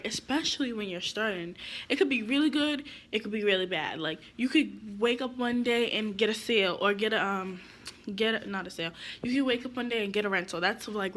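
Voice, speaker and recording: A woman's voice: fairly high pitch, fairly bright tone, slight background noise. A young woman giving casual real estate advice to camera, her voice stuffy from being sick, chatty and rambling with lots of fillers.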